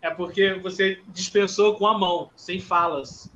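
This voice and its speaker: A man's voice: high voice, fairly even intonation. A man with a Carioca accent co-hosting a live stream, joking and teasing the guest. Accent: Carioca Portuguese. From Rio de Janeiro, Brazil. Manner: joking, quick to laugh. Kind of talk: casual chat, teasing. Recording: dull lo-fi stream audio with faint noise.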